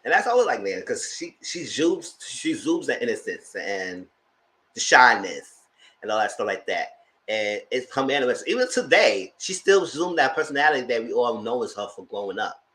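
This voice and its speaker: Chatty high-voiced man reviewing live. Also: very animated, moderate pace, bright, forceful tone, rough recording. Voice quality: high-pitched, light voice. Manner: chatty, laughs often, greets viewers. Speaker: host reviewing a documentary live. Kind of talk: casual live-stream commentary.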